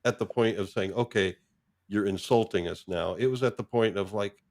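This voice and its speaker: A man chatting casually about films in a halting way, thinking aloud as he goes. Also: on the low side, darkish tone. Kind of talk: casual film discussion.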